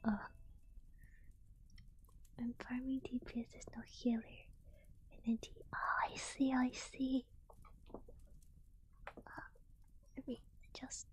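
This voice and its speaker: A tired woman streamer, yawning as she chats softly with her viewers in a whispered ASMR stream. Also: a little high, flat delivery, slow, long pauses, low-quality recording. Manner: soft whispering, chatty with viewers.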